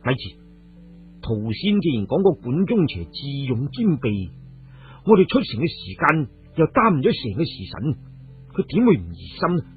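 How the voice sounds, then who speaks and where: A man's voice: a little high, sing-song, moderate pace, husky, heavily acted. A man voicing a cautious, decisive hero who leads his men in a radio story. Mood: wary. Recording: muffled phone-like radio audio, music behind.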